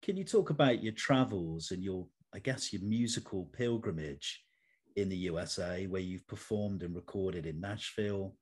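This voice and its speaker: A male interview host with a slightly low voice. He speaks conversationally, putting questions and comments to his guest. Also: a little mellow.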